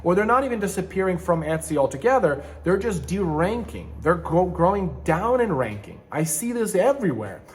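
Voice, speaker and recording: A man from Ukraine talks straight to camera, loose and conversational. His voice sits a little higher than most men's. He rambles and often stops to fix his words mid-sentence. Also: sing-song, moderate pace, hardly any pauses. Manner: rambling, self-correcting, conversational with frequent restarts. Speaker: channel host, online seller and manufacturer. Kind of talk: casual talking-to-camera vlog.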